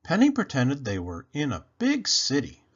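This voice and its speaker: A man reading a children's story aloud in an expressive, animated, deliberate way. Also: medium pitch, breathy edge, lo-fi sound. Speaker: storybook read-aloud host.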